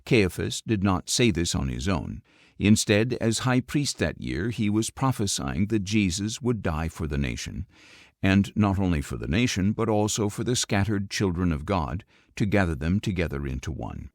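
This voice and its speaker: A man narrating scripture as an audiobook, with an expressive, measured storytelling delivery. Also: low voice, no room sound, studio quality.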